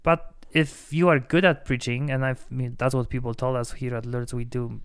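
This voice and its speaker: Chatty priest, Polish native speaker. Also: male voice, medium pitch, steady tone, moderate pace, smooth, no room sound. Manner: chatty, many restarts and fillers. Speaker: priest and podcast host. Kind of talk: casual podcast chat.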